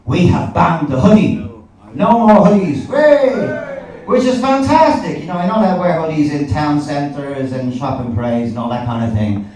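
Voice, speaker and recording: A man's voice: fairly high pitch, expressive, moderate pace, darkish tone. An Irish man performing live stand-up comedy in a conversational, sweary storytelling manner. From Ireland. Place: indoor live comedy gig. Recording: echoey, noisy live club recording from a distance.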